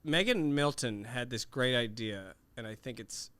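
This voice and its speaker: A man's voice: mid-range voice, lively intonation, moderate pace, a bit bright, smooth, no echo. A man, a comedian guest on a podcast, chatting casually and grumbling in a self-deprecating way. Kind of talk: casual chat. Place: podcast studio.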